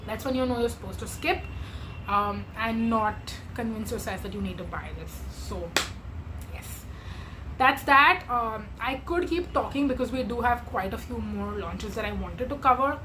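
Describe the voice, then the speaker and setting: A woman's voice: a little high, normal speed. A young woman reviewing beauty launches in casual, rambling chat, full of fillers and self-corrections, her voice wearing out. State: losing her voice, tiring. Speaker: beauty vlogger. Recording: steady background noise.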